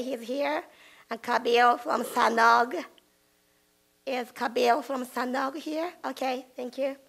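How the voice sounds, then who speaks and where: A woman with a hoarse, lost voice, chairing a conference session in a hall at a table microphone and apologizing as she introduces speakers. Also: fairly high pitch, fairly bright tone. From Japan. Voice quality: rough, gravelly. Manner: apologetic, chatty with fillers.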